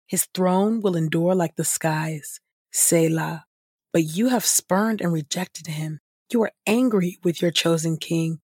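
A woman reading scripture as a podcast host, in a measured style with deliberate, reverent phrasing. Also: lowish voice, darkish tone, slightly rough, no echo, clean studio sound.

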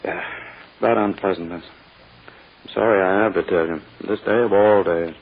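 Smug, oily, low-voiced courting prosecutor. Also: male voice, fairly even intonation, creaky, husky, exaggerated voice. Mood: smug, insinuating. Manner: unctuous, drawn-out, self-important patter with little hums. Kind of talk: 1940s radio acting. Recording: muffled, hissy old radio recording.

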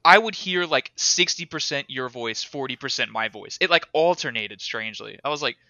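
A man co-hosting a podcast in casual chat, rambling and animated, laughing often. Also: mid-range voice, normal speed, no room sound, muffled recording. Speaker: podcast co-host.

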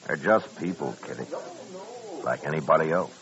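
An actor playing a calm, dry-humored American marshal in an old radio western, with a laconic, understated manner. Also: male voice, rumbling low, normal speed, loud music behind the voice. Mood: easygoing, subdued. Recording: dull old-time radio recording.

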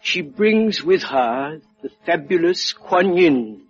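A man's voice: fairly high pitch, expressive, slow, soft background music. A man playing a florid, imperious Chinese treasure collector in an old radio drama, speaking in precise, formal phrases. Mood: grandiose, commanding. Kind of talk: 1950s radio drama acting. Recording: dull old radio recording.

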